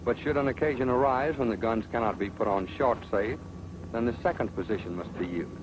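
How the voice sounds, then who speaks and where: A man's voice: mid-range voice, a little fast, noisy background. A man narrating a 1940s training film in an authoritative, sardonic manner. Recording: old 1940s film soundtrack with music.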